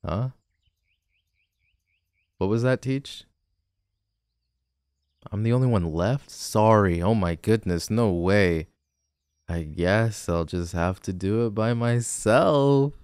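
A man's voice: lowish voice, slow, pauses often, darkish tone, smooth, no echo, clean studio sound. A man playing a cocky, grumpy tall teenage delinquent boy in an audio roleplay, sarcastic, teasing and dismissive. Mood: sarcastic, relieved. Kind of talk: boyfriend ASMR audio roleplay.

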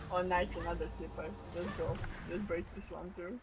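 A woman's voice: fairly low pitch, darkish tone, poor audio. A woman laughing and joking in casual group voice chat while gaming. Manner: laughing, playful. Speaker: gamer in voice chat.

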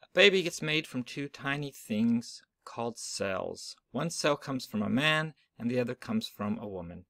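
Male storybook narrator, even and gentle, dry home recording. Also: medium pitch, moderate pace, no echo. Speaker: reader of a children's book. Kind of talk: reading a picture book aloud.

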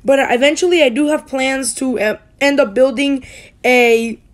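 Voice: sits high, moderate pace. A school-age youngster from the United States hosting a hobby channel, giving a casual, rambling voice-over that often corrects itself.

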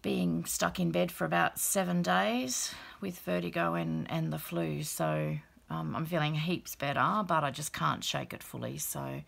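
A middle-aged woman with a croaky, gravelly voice after the flu, casually showing a handmade journal at her craft table, relaxed and chatty with many fillers. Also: deep voice, unhurried, hardly any pauses. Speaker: craft channel host. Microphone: overhead camera, hands only.

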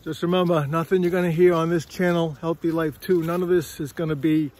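A man's voice: on the high side, little pitch movement, fairly quick, a little mellow. A middle-aged man vlogging on a windy forest trail, filming himself with a phone at arm's length, a little out of breath and chatty. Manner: chatty, rambling, keeps asking "all right?". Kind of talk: casual walking vlog.

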